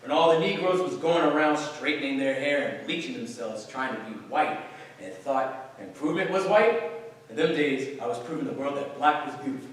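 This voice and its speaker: A man's voice: mid-range voice, expressive, fairly quick, lightly acted. A man playing a proud, boastful male performer in a staged reading. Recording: very echoey room, distant mic.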